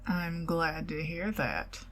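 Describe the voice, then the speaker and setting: A middle-aged woman with a Southern US accent, speaking softly and partly in whispers in an ASMR date roleplay. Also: low-pitched, takes it slowly. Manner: intimate, soft-spoken, giggly, partly whispered.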